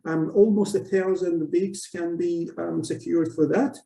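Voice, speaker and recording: A man giving a technical presentation in a halting, hesitant way. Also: a little high, steady tone, creaky, dark tone. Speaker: webinar presenter. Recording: roomy echo, distant microphone.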